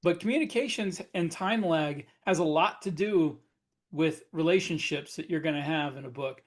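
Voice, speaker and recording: A man's voice: on the high side, moderate pace. A man co-hosting a podcast panel, chatting casually with blunt, wry remarks. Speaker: podcast co-host, author.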